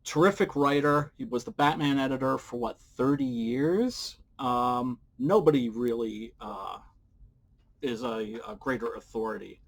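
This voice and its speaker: Casting: male presenter for a casual tutorial, mid-pitched, conversational and digressive, feeling his way through each point. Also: measured pace. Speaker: comics writer giving a how-to talk. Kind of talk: informal instructional talk to camera.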